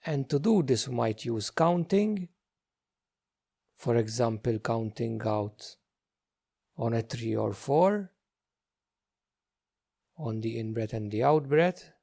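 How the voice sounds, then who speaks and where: A man leading a guided meditation as a teacher, speaking gently in short, unhurried phrases with many pauses. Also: mid-range voice, animated, vocal fry.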